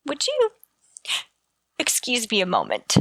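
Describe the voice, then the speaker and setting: Dry, bright-voiced, animated schoolteacher played by an actress. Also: female voice, on the high side, measured pace, dry recording, exaggerated voice. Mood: wry. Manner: dry, sarcastic delivery. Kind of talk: 1940s radio sitcom acting.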